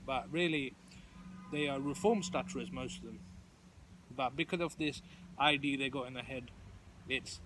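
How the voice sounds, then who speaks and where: A man's voice: medium pitch, moderate pace, pauses often, noisy background. A man speaking earnestly in casual conversation, with emphatic delivery. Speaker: stammering support group member.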